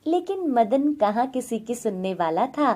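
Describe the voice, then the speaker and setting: A woman's voice: fairly high pitch, big pitch swings, a little slow, darkish tone. A woman narrating a scripted moral bedtime story as a storyteller.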